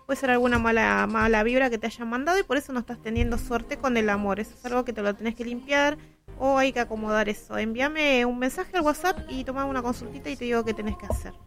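A woman's voice: a little high, quick pace, a bit bright. A woman with a Rioplatense Argentine accent, giving casual readings as a radio tarot reader.

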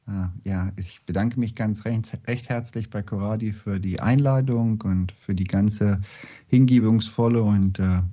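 A man's voice: lowish voice, unhurried. A man speaking as a guest lecturer, introducing his talk in a formal, hesitant way. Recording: dull lo-fi sound.

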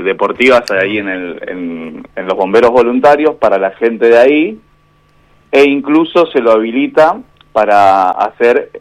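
A man with an Argentine Spanish accent, a boxing referee speaking as a guest over the phone on a radio show, measured and hesitant with many fillers. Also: fairly low pitch, a bit bright. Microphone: phone line.

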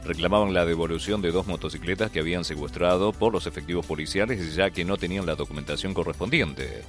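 A man's voice: sits low, fast talker, soft background music. A man reading a formal radio news bulletin. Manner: formal, steady read. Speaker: radio newsreader.

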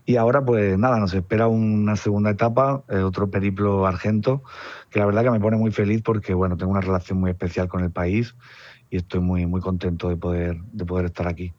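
A Spanish man from Murcia with a southern accent, a musician talking about his tour in a relaxed, reflective way over a phone line. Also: sits low, a little fast, no room sound. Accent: southern Spain, Murcian. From Murcia, Spain. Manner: relaxed, reflective, with fillers like "pues" and "nada". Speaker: musician guest. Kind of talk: phone interview. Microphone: telephone line.

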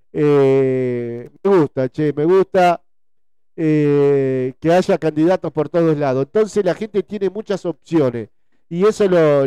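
Rioplatense guy in his 40s, bit high pitched but soft and mellow, chatty host repeating himself, super clean voice. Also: clean tone, no room sound. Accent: Rioplatense Spanish. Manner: repetitive, conversational, often seeking agreement with tag questions. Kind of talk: casual live chat, reading listener messages.

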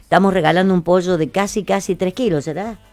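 A woman's voice: on the low side, fairly even intonation, fast talker, a little mellow, dry recording. A woman with an Argentine accent hosting a casual radio chat, chatty and colloquial, repeating phrases for emphasis. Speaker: radio host.

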